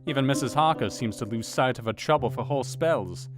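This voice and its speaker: A man's voice: mid-range voice, faint music behind, lightly acted. A man as a thoughtful Victorian doctor. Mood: reflective. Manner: measured diary narration. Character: Victorian doctor, narrating his diary, thoughtful. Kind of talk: audio drama reading.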